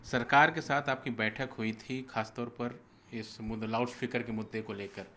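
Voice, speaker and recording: A man's voice: mid-range voice, steady tone, moderate pace, pushed and bright. A man working as a television news reporter, putting probing, formal questions in an interview. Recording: noisy location sound, background ambience.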